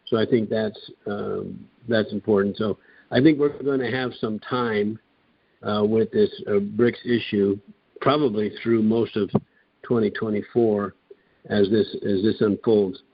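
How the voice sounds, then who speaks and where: Low-voiced man on a dull phone call. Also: vocal fry, breathy edge, dry recording. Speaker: caller. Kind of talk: measured commentary on a call.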